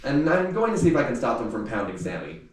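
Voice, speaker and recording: A man's voice: mid-range voice, very animated, fast talker, close to an everyday voice. A man voicing an awkward teenage boy trying to sound casual in a novel read aloud, hesitant and peacemaking. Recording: echoey room, distant mic, faint noise.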